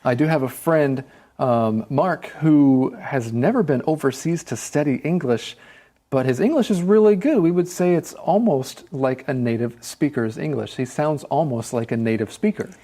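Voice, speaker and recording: Man from Taiwan, lesson co-host, warm mellow tone. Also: mid-range voice, normal speed. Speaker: co-host of an English lesson show. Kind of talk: scripted teaching chat.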